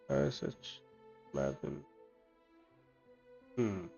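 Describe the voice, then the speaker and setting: Creaky-voiced young man streaming a game. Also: mid-range voice, dark tone, lo-fi sound. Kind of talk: casual game commentary.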